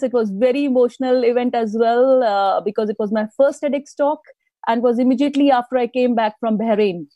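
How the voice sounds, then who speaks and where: A woman's voice: fairly high pitch, no room sound. A woman from India, a guest speaker telling stories about her life in a live interview, laughing often. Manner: warm, storytelling, laughs often.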